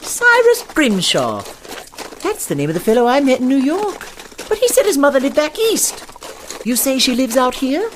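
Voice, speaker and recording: A man's voice: very high-pitched, huge pitch swings, moderate pace, a bit bright, a little hiss or hum, exaggerated voice. A man playing a naive, well-spoken dandy fish from New York in a comic western audio drama, with wordy, formal diction. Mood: puzzled.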